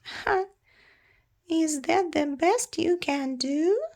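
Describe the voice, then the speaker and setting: A woman voicing excitable little characters who face dragons in a children's storybook, exclaiming their lines. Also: very high-pitched, drawn-out words, gravelly, voice pushed up, full character voice. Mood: mocking.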